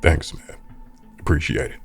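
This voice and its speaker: A man's voice: moderate pace, fairly bright tone, soft background music, close to an everyday voice. A man playing a grieving, bitter prison guard bent on revenge in a comic dub, speaking heavily. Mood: bitter, resolved.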